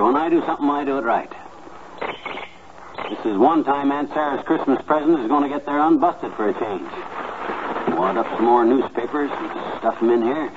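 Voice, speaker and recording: A man's voice: mid-range voice, noisy background, natural voice. Man playing a folksy blustering husband, tinny old radio. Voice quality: folksy, slangy diction. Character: folksy, blustering, boastful middle-aged husband. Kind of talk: 1950s radio comedy acting.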